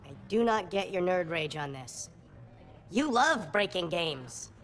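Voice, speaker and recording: Heard with long reverb, this is an assertive game event organizer in a comedy film. The delivery is lively and varied, that of someone who runs the room and drives a bargain. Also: female voice, fairly low pitch, measured pace. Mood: casual. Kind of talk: scripted comedy film acting.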